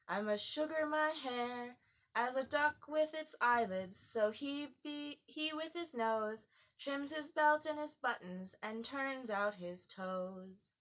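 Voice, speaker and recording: A woman's voice: sits high, voice pushed up. A woman voicing a timid, polite young girl in a storybook, earnest and easily puzzled.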